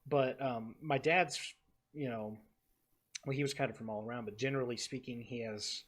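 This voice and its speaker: A young man from the Texas Panhandle, co-hosting a podcast in casual chat, halting and repeating his words with many fillers. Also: mid-range voice, fairly even intonation. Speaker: podcast co-host.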